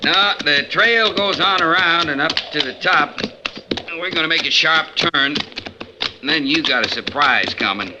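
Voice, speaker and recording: An actor playing a brash, boastful, ruthless gold robber in an old-time radio western, with a talkative, folksy, blunt and menacing way of speaking. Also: male voice, on the high side, unhurried, lightly acted. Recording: lo-fi 1950s radio recording, faint noise.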